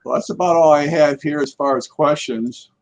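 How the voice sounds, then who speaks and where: A man's voice: fairly high pitch, normal speed. A man in casual conversation as a guest paranormal investigator on a live talk show, rambling and repeating words as he goes.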